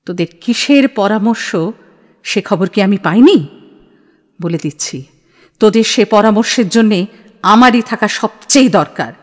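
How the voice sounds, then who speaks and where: A woman's voice: mid-range voice, expressive, unhurried, pauses often. A woman playing a stern, shrewd middle-aged mother in an audio play, cutting and authoritative. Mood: commanding, scornful. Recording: long hall-like reverb.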